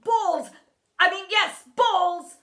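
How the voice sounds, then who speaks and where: A woman voicing a blustering, obsessive male schoolteacher in a booming, bellowing manner for a children's story. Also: fairly quick, pushed and bright. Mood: flustered.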